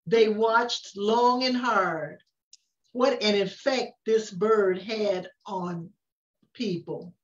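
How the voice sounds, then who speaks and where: Unhurried older woman reading a storybook, slightly dull. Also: medium pitch. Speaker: story reader. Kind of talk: picture-book read-aloud with casual asides.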